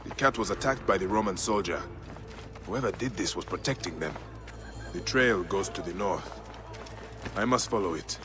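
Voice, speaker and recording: Low male voice, brooding Egyptian warrior, solemn and weary, video game drama, loud music behind. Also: lively intonation, normal speed, long pauses. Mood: focused, musing.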